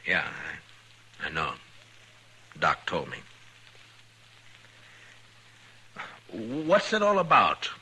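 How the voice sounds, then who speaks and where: Hard-edged, husky Old West marshal. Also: male voice, lowish voice, huge pitch swings, sharp tone, exaggerated voice, rough recording. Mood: probing, concerned. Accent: American. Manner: plain-spoken, hesitant pauses, blunt warnings. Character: weary, firm but concerned Old West town marshal. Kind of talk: 1950s radio western acting. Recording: vintage 1950s radio recording.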